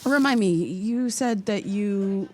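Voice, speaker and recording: A woman acting as an earnest, hesitant podcaster seeking answers in a naturalistic audio drama. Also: medium pitch. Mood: awkward, friendly. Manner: halting, apologetic, polite. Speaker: lead actor playing a podcaster.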